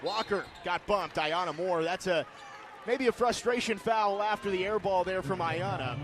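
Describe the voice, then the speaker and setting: High-pitched male play-by-play sports announcer. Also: moderate pace. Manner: clipped, vivid play calling that often rises to shouts. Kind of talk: live sports commentary. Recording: noisy live game broadcast.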